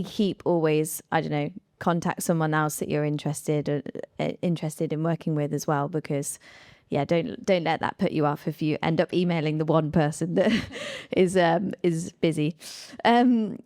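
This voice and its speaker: A woman's voice: sits low, a little mellow, no echo, clean studio sound. A woman moderating a live panel discussion, talking informally and often using "like" as she thinks aloud. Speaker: panel moderator, science researcher.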